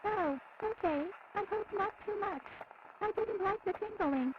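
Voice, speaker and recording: A woman's voice: very high-pitched, lively intonation, a little slow. A woman playing a frightened, innocent little girl patient in analog horror, hesitant and anxious, on a muffled recording full of noise. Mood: timid, anxious. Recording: muffled, very noisy radio-like tape.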